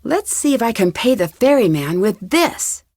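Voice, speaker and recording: A woman voicing a curious, determined young female detective in an adventure game, talking to herself in clear, purposeful scripted lines. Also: on the high side, big pitch swings, moderate pace, darkish tone, dry recording. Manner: clear self-talk, inquisitive and purposeful. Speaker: game protagonist.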